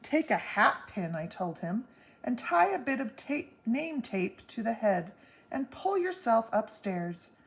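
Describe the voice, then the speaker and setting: A woman reading aloud and voicing a small, anxious mother who frets and weeps, speaking high and emphatically. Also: very animated, slow pace, vocal fry, full character voice. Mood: distraught. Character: anxious, tearful tiny mother, fretful and excitable.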